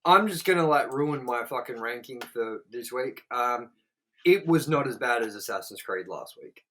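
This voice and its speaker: A man's voice: mid-range voice, animated, normal speed, pushed and bright. A man with an Australian accent, co-hosting a movie review chat, blunt and profane, rambling with lots of fillers.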